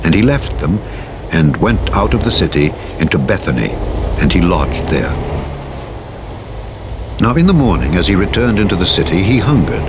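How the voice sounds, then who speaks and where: Clear vehicle engine noise runs behind a man with a fairly low voice. He reads scripture as a narrator, in a solemn and measured way, with archaic, formal phrasing. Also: big pitch swings. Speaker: Bible narrator. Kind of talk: scripture reading. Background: truck engine noise from a driving game.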